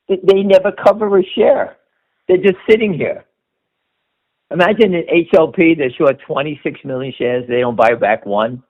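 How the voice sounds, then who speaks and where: A man hosting a stock-market call with unscripted, emphatic commentary, firing off short punchy statements and rhetorical questions. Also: medium pitch. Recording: dull, lo-fi conference call audio.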